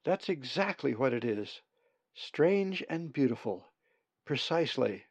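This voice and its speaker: A man's voice: mid-range voice, sing-song, unhurried, breathy edge, dry recording, heavily acted. A man voicing a cheerful, teasing female raven, with a raised, breezy delivery. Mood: breezy, amused. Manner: breezy, bright, amused. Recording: dull lo-fi recording.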